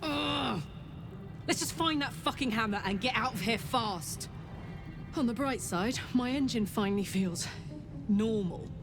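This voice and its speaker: Gutsy, blunt adventurer over music. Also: female voice, fairly high pitch, measured pace. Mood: tense, wry. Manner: plain-spoken, sweary, wry. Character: gutsy, blunt female adventurer with wry warmth. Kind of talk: video game companion acting. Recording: game music behind the voice.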